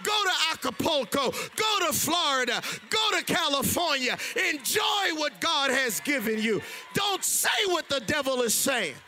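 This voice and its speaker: A man preaching a sermon in a church, emphatic and loud, mixing humor with exhortation. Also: very high-pitched, very animated, a little slow, few pauses, soft background music. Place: church sanctuary.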